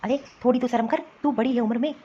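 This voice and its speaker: A woman's voice: fairly high pitch, steady tone, fast talker, mellow, husky. Woman playing an earnest young husband, dull lo-fi sound. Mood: outraged. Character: earnest young husband, torn between wife and family. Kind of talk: Hindi family-drama cartoon dialogue. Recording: dull lo-fi sound, faint noise.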